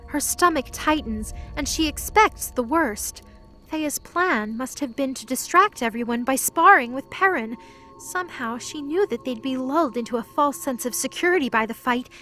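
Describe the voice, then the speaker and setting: A woman's voice: high-pitched, a bit bright. A woman narrating a scripted audio drama as its storyteller.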